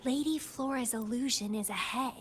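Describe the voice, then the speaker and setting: An actress playing a possessive, teasing young woman fighter in a fantasy video game, with deliberate, dramatic delivery. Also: on the high side, faint music behind, lightly acted. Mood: curious, composed. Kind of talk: theatrical video game voice acting.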